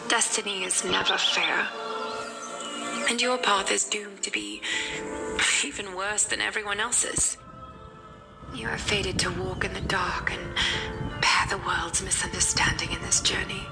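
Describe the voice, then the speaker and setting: A woman's voice: mid-range voice, fairly even intonation, measured pace, gravelly, long pauses. A solemn, consoling wise woman. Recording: game audio under very loud music. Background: background music.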